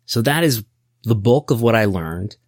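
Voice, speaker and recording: American guy podcaster, kinda low voice, nerdy wry business talk, thinks out loud, really dry sound. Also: animated, moderate pace, no echo. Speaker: podcast host. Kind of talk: casual explanatory business commentary.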